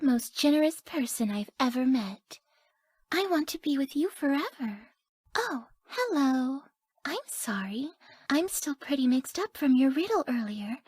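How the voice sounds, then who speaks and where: A woman voicing a sweet, sleepy, scatterbrained young woman in a video game, with a soft, airy, naive delivery. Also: high-pitched, expressive, unhurried, dry recording, clean studio sound. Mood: touched, tender, then shy, curious. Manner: soft, airy, gentle, often dropping to breathy near-whispers. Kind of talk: video game character voice lines.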